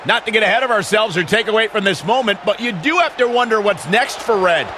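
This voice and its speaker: A man playing an excitable play-by-play announcer in a wrestling video game, over a noisy arena. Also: high-pitched, fast talker. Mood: upbeat. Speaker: wrestling commentators. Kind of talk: excited sports commentary. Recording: noisy arena crowd behind.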